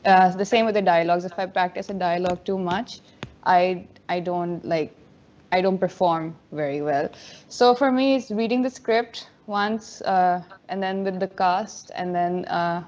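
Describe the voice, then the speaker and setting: A woman's voice: on the low side, a little slow, a little mellow, dull audio. A woman, an actress answering candidly and easily, slipping in Urdu words. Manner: candid, easygoing, mixes in Urdu. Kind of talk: festival Q&A answer. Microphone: video call.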